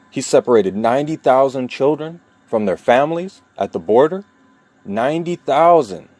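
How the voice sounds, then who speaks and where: A man from New York speaking a personal monologue, deliberate and emphatic, with long pauses and repeated key points. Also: lowish voice, a little mellow, dry recording. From New York, USA. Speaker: vlogger telling his own story.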